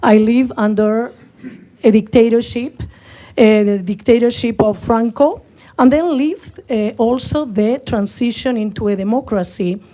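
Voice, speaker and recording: Woman, 60s, Spanish accent, halting public comment, podium mic, higher pitch, mellow tone. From northern Spain. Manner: halting, searching for words, many fillers. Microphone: podium microphone.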